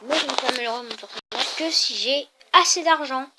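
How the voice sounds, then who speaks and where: A woman's voice: sits high, a little fast, heavy background noise. A woman with a cold, chatting casually and rambling a little as she plays and explains mobile games. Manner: chatty, rambling, lots of fillers. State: has a cold. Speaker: gaming YouTuber. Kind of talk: casual gameplay commentary.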